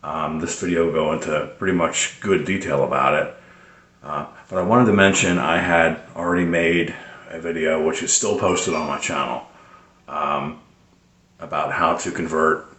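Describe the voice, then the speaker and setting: A man giving a casual, unscripted how-to explanation in a hesitant, rambling way with many pauses. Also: rumbling low, steady tone, a bit bright. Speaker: presenter of a radio tips video. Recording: roomy, echoing sound.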